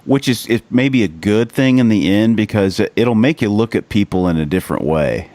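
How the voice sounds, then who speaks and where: A man's voice: lowish voice, expressive, brisk, a little mellow, dry recording. An American man from the rural United States hosting a podcast, chatting casually in an earnest, rambling, sweary way. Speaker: podcast host. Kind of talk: casual chat.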